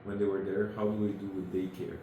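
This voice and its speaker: A man's voice: deep voice, flat delivery, fast talker, narrow, tinny audio. A man from Senegal, talking unhurriedly as an interview guest and searching for his words. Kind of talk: casual interview chat. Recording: very echoey room with background noise.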